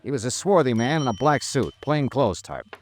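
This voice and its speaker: An actor playing a terse, sardonic hard-boiled insurance investigator in an old-time radio noir drama, with an American accent and a clipped, wry delivery. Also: male voice, medium pitch, sing-song, normal speed, faint music behind, close to an everyday voice. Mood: wry narrating. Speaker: detective lead and narrator.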